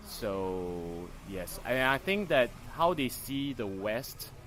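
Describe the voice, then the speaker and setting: A man's voice: mid-range voice, very animated, slow, fairly bright tone. A young adult man with a Singaporean accent, giving articulate, formally worded answers in an interview. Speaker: master's student interviewee. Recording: faint ambient background noise.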